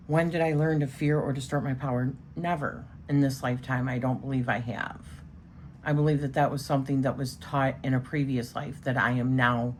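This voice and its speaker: A woman's voice: a bass voice, a little hiss or hum. A woman with a strong voice, chatting casually and rambling a little as she talks through a tarot spread. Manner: chatty, rambling with many fillers. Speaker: tarot reader. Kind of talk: casual spoken tarot session.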